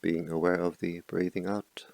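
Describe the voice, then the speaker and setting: A man with a deep voice full of gravelly fry guides a meditation. He speaks softly and slowly, leaving long pauses between short, calm instructions. The sound is close and dry, with very little room on it. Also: no echo. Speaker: meditation teacher.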